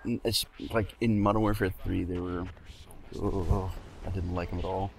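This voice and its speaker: A man's voice: low-pitched, little pitch movement, moderate pace, gravelly. A young man chiming in casually as a co-commentator, laid-back and mostly agreeing.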